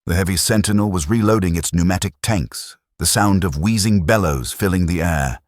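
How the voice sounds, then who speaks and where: A man narrating a science fiction audiobook in a dramatic, measured delivery. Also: sits low, no room sound, studio quality.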